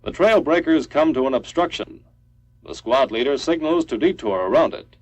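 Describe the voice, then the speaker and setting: An American man delivers scripted military instructional narration. His mid-pitched voice moves in lively rises and falls through clipped, declarative sentences. The sound is dry, with little room in it. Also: normal speed, dry recording, rough recording. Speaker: training film narrator.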